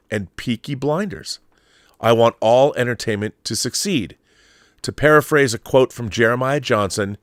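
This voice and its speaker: A middle-aged man hosting a casual livestream, talking emphatically and haltingly, often repeating words and rephrasing as he thinks aloud. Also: medium pitch, no room sound, clean studio sound. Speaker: livestream host. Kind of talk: casual live chat answering viewer messages.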